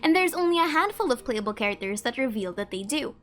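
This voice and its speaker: Female video host, high-pitched and animated, playful scripted narration with chatty asides. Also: fast talker. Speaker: host of a game trivia video.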